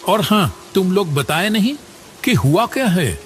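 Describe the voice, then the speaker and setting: A man's voice: high voice, sing-song, a little slow, a little hiss or hum. A man voicing a kindly, generous older village headmaster in a cartoon story, chatty and avuncular. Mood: concerned, friendly. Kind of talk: Hindi cartoon story acting.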